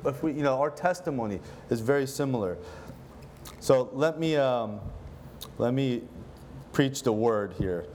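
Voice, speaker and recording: A man's voice: mid-range voice, unhurried, long pauses, slight background noise. Laid-back earnest male preacher. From Lebanon. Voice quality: relaxed, filler-heavy, earnest delivery with frequent self-restarts. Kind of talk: casual conversational sermon with prayer. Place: church service.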